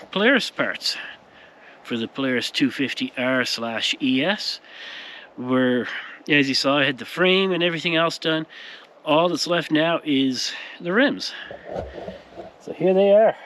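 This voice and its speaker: A man's voice: fairly high pitch, sharp tone, slight background noise. A man hosting a casual workshop vlog, speaking to viewers in an informal, folksy way. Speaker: host of a powder coating workshop video. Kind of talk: casual to-camera shop vlog.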